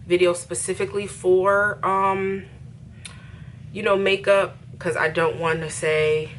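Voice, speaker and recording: A woman in her early thirties with a slightly low voice talks to her viewers as a vlogger. She is casual, familiar and emphatic, like someone chatting while getting ready. Some room noise sits behind her voice. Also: fairly bright tone. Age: early 30s. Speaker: vlogger, host of own channel. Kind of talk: casual get-ready-with-me chat to camera. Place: indoors in a bedroom.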